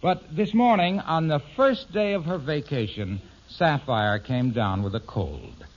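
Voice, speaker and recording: A man's voice: fairly high pitch, animated, unhurried. A man acting as the genial storytelling announcer of an old-time radio comedy, reading scripted narration. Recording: muffled vintage radio recording.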